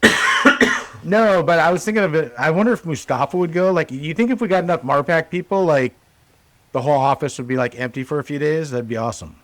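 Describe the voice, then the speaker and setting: A man's voice: a little high, quick pace, a bit bright, no room sound. Rambling man with a dry close sound. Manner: rambling, many restarts and "like" fillers. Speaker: podcast co-host. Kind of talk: casual podcast chat.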